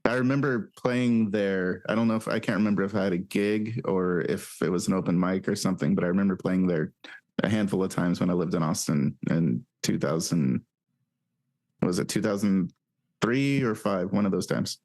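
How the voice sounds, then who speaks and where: A man hosting a casual podcast interview, talking in a halting way with lots of fillers. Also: low-pitched, a little mellow. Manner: halting, filler-heavy speech. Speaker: podcast host.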